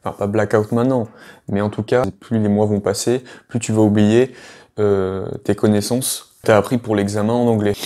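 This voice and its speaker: A man's voice: lowish voice, dark tone. A man giving a casual video lesson as an English teacher, talking straight to the viewer with many hesitations. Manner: chatty, addresses viewer directly, many hesitations.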